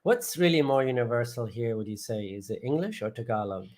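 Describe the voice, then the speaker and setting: A man's voice: mid-range voice, animated, brisk, a little mellow. A man hosting a casual vlog chat, explaining things and prompting his co-host with questions.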